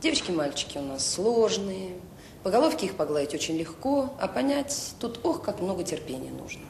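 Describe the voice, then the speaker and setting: An actress playing a devoted, earnest director of a children's home, speaking in short emphatic phrases in a naturalistic radio drama. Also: female voice, very animated, a little fast, gravelly, darkish tone. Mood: earnest, persuasive. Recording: roomy sound with faint noise and rumble.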